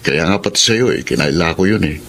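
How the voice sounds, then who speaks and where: A man's voice: low-pitched, lively intonation, fairly quick, creaky, fairly bright tone, exaggerated voice. A man playing a guilty, withdrawn young boyfriend in a Filipino romantic radio drama, subdued and earnest. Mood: troubled. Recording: faint background noise.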